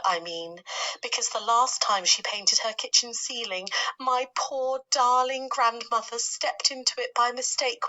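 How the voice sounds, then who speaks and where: A woman's voice: fairly high pitch, bright, forceful tone, voice pushed up, poor audio. A woman playing a dramatic, doleful female spider from a children's storybook, gushing and lamenting. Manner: gushing, self-correcting, theatrical.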